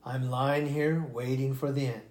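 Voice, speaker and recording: A reader voicing a proud old male wolf, humbled and unable to smell, in slow, solemn storybook dialogue. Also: mid-range voice, steady tone, darkish tone, reverberant, lightly acted. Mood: sorrowful.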